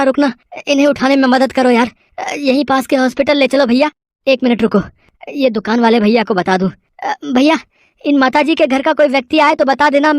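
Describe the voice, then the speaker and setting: A woman's voice: on the high side, dry recording, studio quality, pitched above the performer's own voice, lightly acted. Woman acting a humble, earnest male street vendor.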